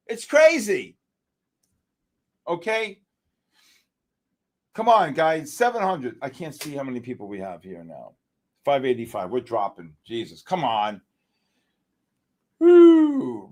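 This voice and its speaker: Excitable New man hosting. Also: a little high, unhurried, long pauses. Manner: excitable, joking, hypes viewers. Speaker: livestream host. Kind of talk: live trading stream chat.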